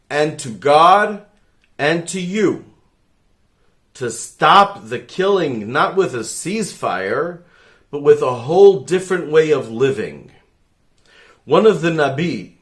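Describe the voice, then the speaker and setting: A man in a middle pitch talks with sudden swells in loudness as he pleads earnestly to the camera. He keeps breaking off into side remarks, then pulls himself back with a fervent, preacher-like push. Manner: rambling, self-interrupting, fervent. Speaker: rabbi. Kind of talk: earnest direct-to-camera appeal.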